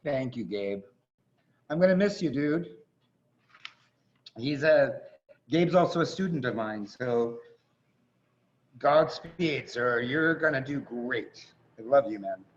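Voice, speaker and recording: A man hosting with casual, gushing, informal introductions over a video call. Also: a little high. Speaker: host, acting teacher. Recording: muffled, noisy video-call audio.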